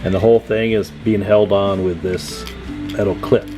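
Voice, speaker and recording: A man's voice: on the low side, fairly even intonation, normal speed, music in the background, low-quality recording. Casual, chatty man demonstrating repairs. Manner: chatty, informal, folksy. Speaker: host of a CB radio repair channel.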